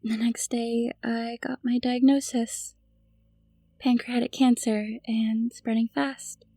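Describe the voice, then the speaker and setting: A high, close and dry voice from an actress plays a newly turned vampire woman. She is haunted by guilt and grief. Her delivery is halting and pleading, in the style of a cinematic audio drama. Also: little pitch movement, warm tone, dry recording. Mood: subdued, confessional. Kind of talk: cinematic audio roleplay acting.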